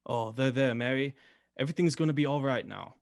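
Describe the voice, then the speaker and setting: A man's voice: medium pitch, a little fast, vocal fry, no room sound, clean studio sound, lightly acted. A male actor in a radio drama playing a proud, earnest young bridegroom who speaks firmly. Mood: earnest, reassuring.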